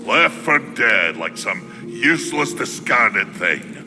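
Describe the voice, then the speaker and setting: A man playing a gruff, steadfast giant in a fantasy game, speaking in a weighty, plain-spoken way. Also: lowish voice, very animated, takes it slowly, exaggerated voice. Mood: stern encouragement. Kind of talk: video game fantasy acting. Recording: hall reverb with background music.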